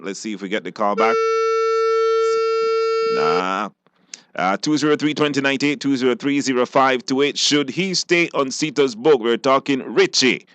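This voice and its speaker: A man's voice: medium pitch, a bit bright, no room sound. A man with a Belizean accent hosting a live radio call-in show and talking to guests and listeners.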